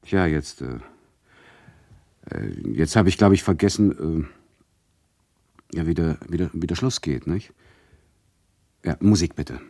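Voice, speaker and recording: A man's voice: very deep voice, lively intonation, measured pace, creaky, pauses often, mellow, exaggerated voice. A smug radio drama narrator. He talks straight to the listeners with mock patience and dry sarcasm. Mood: flustered. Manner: sardonic, addresses listeners directly, mock-patient. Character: condescending, chatty male narrator who mocks his listeners. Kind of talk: ironic radio play narration.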